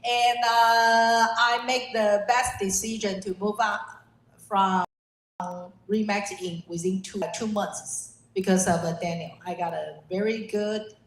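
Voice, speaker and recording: A woman, a real estate developer, introducing herself at a meeting in halting, filler-filled speech. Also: medium pitch, steady tone. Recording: echoey room, faint noise.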